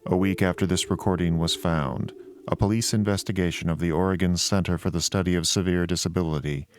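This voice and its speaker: An actor playing a sober, formal narrator reporting on a found recording in a horror audio drama. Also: male voice, sits low, fairly even intonation, fairly quick, a little hiss or hum, close to an everyday voice. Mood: grave, official.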